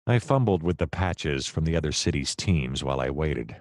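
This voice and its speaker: An actor with an American accent playing a hapless, wry male private detective in a noir audio play, deadpan and sometimes flustered. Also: rumbling low, normal speed, no echo, studio quality. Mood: wry, brooding. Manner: wry, deadpan narration, hesitant and flustered in dialogue.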